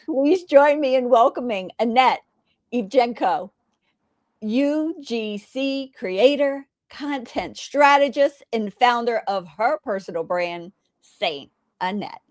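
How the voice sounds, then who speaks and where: A woman's voice: a little high, animated, slow, no echo. Enthusiastic woman interview host, dry sound. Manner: enthusiastic, chatty. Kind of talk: casual interview hosting.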